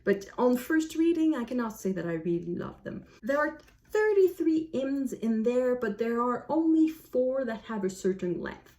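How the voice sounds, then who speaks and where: A woman's voice: a little high, sing-song, moderate pace, warm tone. A woman with a French accent and French as her native language gives a casual book review, explaining reflectively and often correcting herself.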